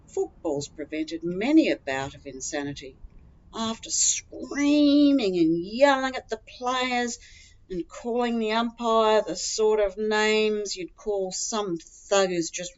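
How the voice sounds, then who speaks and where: A woman's voice: fairly low pitch, muffled recording. A woman with an Australian accent reading a scripted humorous first-person story in a dry, matter-of-fact comic way. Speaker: humorous writer reading their own story.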